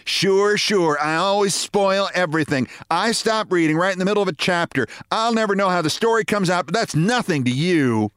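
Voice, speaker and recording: A man voicing a sharp-tongued middle-aged wife who scolds and mocks her husband. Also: high-pitched, normal speed, hardly any pauses, smooth, no room sound, clean studio sound, pitched above the performer's own voice. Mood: scolding. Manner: scolding, sarcastic.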